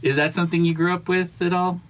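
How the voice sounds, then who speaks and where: A man's voice: high-pitched, level tone, fast talker, distant mic. A man in his thirties hosting a podcast in a small foam-walled studio, asking questions in a joking, easygoing way. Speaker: podcast host. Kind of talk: casual podcast chat. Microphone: studio mic on boom arm, headphones.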